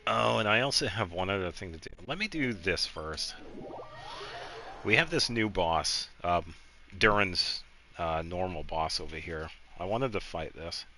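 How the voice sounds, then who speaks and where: A man's voice: low voice, lively intonation, unhurried, bright, forceful tone. A man giving casual video game commentary, thinking aloud in a rambling way as he plays. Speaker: streamer playing a video game. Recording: game music faintly behind.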